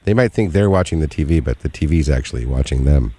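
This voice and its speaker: A man's voice: a bass voice, expressive, quick pace, dark tone, husky, no echo. A man co-hosting a casual radio interview, jokey and colloquial, often repeating words as he starts a thought.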